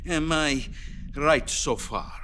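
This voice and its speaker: An actor playing a stern Soviet premier in a game cutscene, speaking measured and grave. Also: male voice, fairly high pitch, bright, forceful tone, a little hiss or hum. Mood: probing. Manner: measured and grave, rising to angry. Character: stern Soviet premier man, cautious and demanding. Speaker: Soviet leader on a hotline call. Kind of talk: video game cutscene acting. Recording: game audio with score behind.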